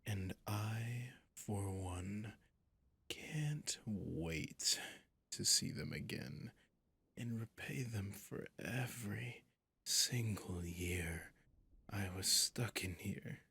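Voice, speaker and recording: A man playing a sadistic, centuries-old vampire prince in an ASMR roleplay, slow and menacing, often dropping into a whisper. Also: sharp tone, full character voice. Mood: brooding, vengeful. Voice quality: low, husky, gravelly.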